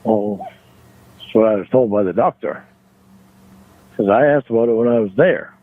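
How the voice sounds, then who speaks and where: A man on a phone call, arguing defensively in a halting, repetitive way. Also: lowish voice, no echo. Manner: halting, repeats himself, defensive. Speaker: phone caller. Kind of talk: argumentative private phone call. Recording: tinny, narrow phone line.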